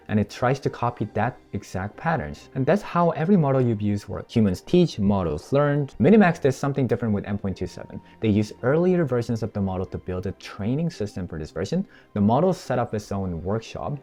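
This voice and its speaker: A man whose first language is Korean, chatting through a software tutorial and review on a wireless mic and checking in with the viewer. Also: on the low side, sing-song, fairly quick, hardly any pauses. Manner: chatty, explanatory, tosses in "right?" to the viewer. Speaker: tech YouTuber. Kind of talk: casual screen-recorded tutorial and sponsored review. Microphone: wireless microphone.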